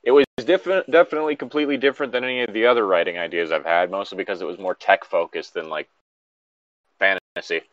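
A man's voice: low-pitched, fast talker, fairly bright tone, no echo, muffled recording. A man giving casual, sweary and sardonic commentary as he reads and reacts to a story. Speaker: host reading and reacting to a horror story.

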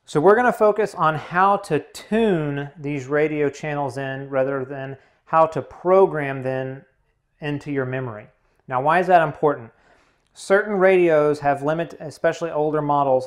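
A man hosting a tutorial, giving hands-on instructional narration in a measured, methodical way. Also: mid-range voice. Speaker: tutorial host.

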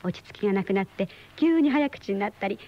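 An actress playing a teasing, sharp-eyed wife in a radio drama, mocking and knowing as she scolds. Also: medium pitch, animated, fast, natural voice. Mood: teasing, accusing. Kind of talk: Japanese radio drama dialogue. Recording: muffled old radio drama recording.

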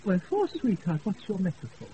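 An actor playing a foolish, eager-to-please, flustered knight in a comic classical radio play. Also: male voice, high voice, huge pitch swings, moderate pace, mellow, poor audio. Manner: flustered, hesitant. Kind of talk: comic Shakespearean radio acting. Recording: hissy off-air radio recording.

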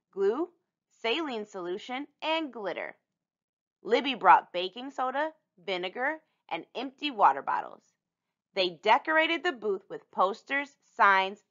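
A woman reading a children's picture book aloud in an expressive storytelling manner. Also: medium pitch, dull audio. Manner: animated, storytelling delivery for young children.

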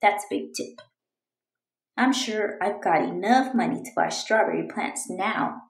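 A woman voicing an eager, determined young girl reading out her storybook diary in an earnest, expressive way. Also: medium pitch, a little slow, vocal fry, echoey room. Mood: excited.

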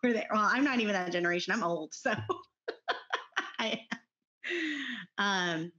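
A woman's voice: mid-range voice, slow pace, pushed and bright, dull audio. A middle-aged woman from Texas with a Texan accent, chatting casually and enthusiastically as a podcast guest, with frequent ums and laughs. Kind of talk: casual chat.

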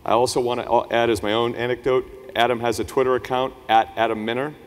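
A man's voice: mid-range voice, level tone, fast. A man acting as conference host, reading a formal speaker introduction from notes. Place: large conference hall. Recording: reverberant hall.

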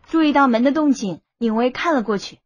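A woman's voice: high voice, lively intonation, a little fast, no echo, highs cut off. A woman narrating a scripted story as an audiobook reader.

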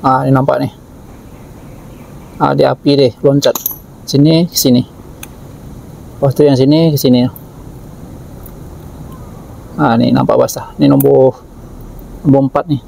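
A man's voice: mid-range voice, level tone, unhurried, creaky, pauses often, dark tone, slight background noise, low-quality recording. A man with a Malaysian Malay accent, a mechanic giving plain, matter-of-fact commentary while he works on an engine in a car workshop. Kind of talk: casual work commentary.

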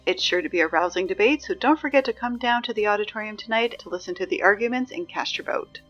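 Woman acting a wry high school announcer, music behind. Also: fast, fairly bright tone, lo-fi sound, lightly acted. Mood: brisk announcing. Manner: conversational, wry, hesitant asides. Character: chatty, exasperated high school morning announcer. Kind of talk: scripted audio drama, PA-style announcements.

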